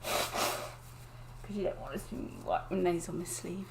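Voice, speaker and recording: Croaky, giggly woman live-streaming. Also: lowish voice, measured pace, a little hiss or hum. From England. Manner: chatty, giggly, greets viewers by name. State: croaky, sniffly with a cold. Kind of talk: casual live-stream chat. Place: home desk indoors.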